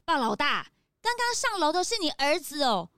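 A woman playing a naive, blunt, excitable little detective's assistant in a children's audio drama, with a childlike manner. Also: high-pitched, moderate pace, no echo, clean studio sound, exaggerated voice. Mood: innocent, blunt.

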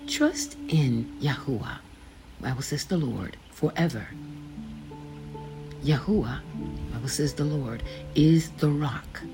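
An older woman reading her own faith memoir aloud, slowly and deliberately, pausing to gloss the names in scripture. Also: deep voice, big pitch swings. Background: background music.

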